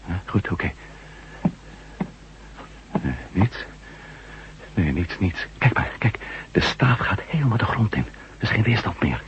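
An actor playing a curious, dryly joking ex-astronaut reporter in a science-fiction radio drama. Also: male voice, lowish voice, sing-song, unhurried, pauses often. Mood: busy, determined. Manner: dry, teasing, musing. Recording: dull lo-fi radio recording, humming noise.

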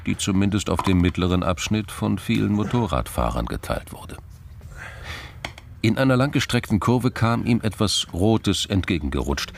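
A man narrating a scripted crime drama in a gravelly voice, measured and understated. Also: sits low, slight background noise.

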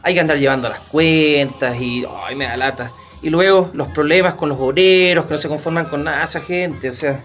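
Complacent rich husband, slightly high male voice, acted. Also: moderate pace, few pauses, a bit bright, lo-fi sound, close to an everyday voice. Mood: bored, whiny. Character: lazy rich bourgeois husband, complacent and flirtatious. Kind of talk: radio drama acting.

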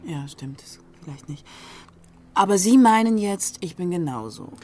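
An actress playing a persistent, quick-witted reporter, hesitant yet challenging, in a radio crime drama. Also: female voice, fairly low pitch, animated, a little mellow.

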